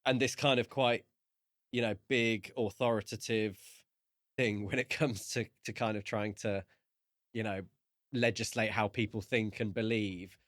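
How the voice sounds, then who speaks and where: A man's voice: fairly low pitch, fairly even intonation, measured pace, fairly bright tone, no room sound, clean studio sound. A man co-hosting a podcast, talking casually and thoughtfully about a book. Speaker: podcast co-host.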